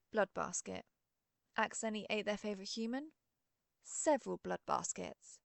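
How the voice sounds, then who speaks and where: A woman acts as a genteel female vampire with a gravelly fry in her voice, recorded close and dry. She is an ancient but friendly creature, chatting in a polite, gently wry way in an audio roleplay. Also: mid-range voice, dry recording. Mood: wry, chatty. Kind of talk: scripted roleplay audio, one side of an interview.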